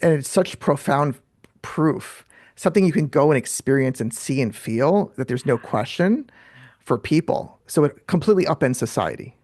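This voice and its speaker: A middle-aged American man from New York City chatting casually as an interview guest, quick to joke at his own expense. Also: on the high side, expressive, a little mellow, dry recording. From New York City, USA. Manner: fast-talking, self-deprecating joker. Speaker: guest, author and filmmaker.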